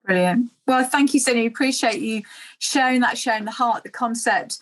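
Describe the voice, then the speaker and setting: A woman's voice: fairly high pitch, normal speed. A woman hosting an online webinar on a video call, encouraging her guest and summing up what was said. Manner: encouraging, summarizing. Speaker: webinar host.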